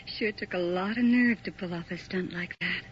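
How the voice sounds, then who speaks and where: A woman's voice: fairly low pitch, lightly acted. An actress playing a mysterious, seductive woman on the phone, coy and half-whispered, in a 1980s radio drama. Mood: teasing. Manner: coy, teasing, drawn-out phrasing. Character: sultry mysterious woman caller, teasing, seductive. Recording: muffled old radio recording with hiss.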